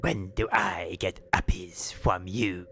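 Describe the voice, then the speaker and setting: A man voicing a stern game character with a childish neediness, in a hushed breathy voice. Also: low-pitched, fairly even intonation, takes it slowly, a little hiss or hum, pitched below the performer's own voice. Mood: deadpan, needy.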